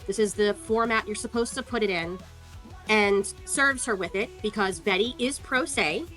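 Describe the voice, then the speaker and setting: A woman's voice: mid-range voice, moderate pace, fairly bright tone, faint music behind. A woman giving casual, sarcastic commentary as she walks through court records, scornful and free with swearing. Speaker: online commentator.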